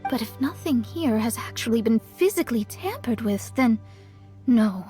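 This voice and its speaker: Young female mystic, played by an actress, high voice, lively intonation, serious, formal, game dialogue. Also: normal speed, soft background music, close to an everyday voice. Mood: serious, intrigued. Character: serious, mystical young woman fortune-teller. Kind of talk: video game character acting.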